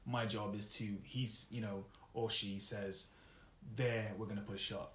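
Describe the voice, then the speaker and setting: A man's voice: lowish voice, level tone, measured pace, a bit bright, roomy sound, a little hiss or hum. A young man, chatting easily as an interview guest, laughing often and trailing off with fillers. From Wales. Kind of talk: casual interview chat.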